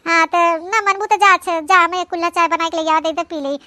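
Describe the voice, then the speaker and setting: Cartoon old village mother-in-law, Awadhi accent, very squeaky and bright, effusive, rambling, fond. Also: fairly even intonation, fairly quick, no room sound. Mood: fond, proud. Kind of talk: cartoon comedy monologue in rural dialect.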